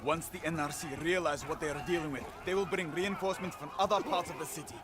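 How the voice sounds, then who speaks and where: A man's voice: a little high, fairly even intonation, fast, close to an everyday voice. A man playing a zealous resistance fighter laying out plans in a video game cutscene. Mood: determined. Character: zealous male resistance fighter briefing his allies.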